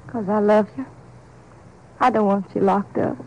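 An actress playing a weary, devoted wife with a rural American Southern accent, plaintive and plain-spoken, in a 1950s radio drama. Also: female voice, medium pitch, steady tone, moderate pace, highs cut off, natural voice. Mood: tender pleading. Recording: old 1950s radio broadcast recording.

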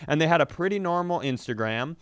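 A man's voice: fairly high pitch, lively intonation, fast talker, clean tone, no room sound, clean studio sound. A man hosting a comedy podcast, telling sardonic, profane stories in casual banter.

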